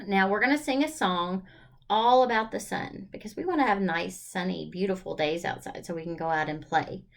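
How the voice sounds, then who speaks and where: A woman hosting a storytime for young children, talking in an encouraging, exaggerated child-directed way with questions and pauses. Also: medium pitch, gravelly.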